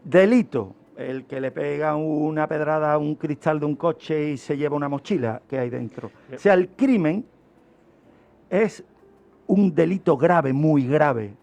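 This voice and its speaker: Male radio expert, Spain accent, unhurried delivery, fairly high voice, didactic and emphatic. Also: creaky, darkish tone. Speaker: guest criminologist explaining. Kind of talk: informal radio lecture.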